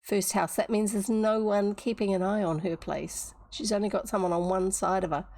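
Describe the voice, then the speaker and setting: A woman's voice: medium pitch, fairly even intonation, normal speed, a little mellow. A woman with a New Zealand accent reading a fairy tale aloud on a livestream, breaking off often for chatty asides and explanations. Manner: chatty, digressive, explains old words.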